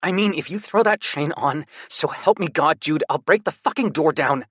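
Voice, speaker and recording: A man's voice: a little high, normal speed, a bit bright, no echo, studio quality, pitched above the performer's own voice, lightly acted. A man voicing a worried, protective younger brother, urgent and pleading, swearing when upset. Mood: fierce insistent.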